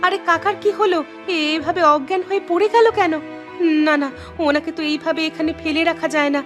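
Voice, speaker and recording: A woman playing a humble, kind, poor village woman in an animated moral story, speaking in an earnest, gentle way. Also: very high-pitched, brisk, over music. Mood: concerned.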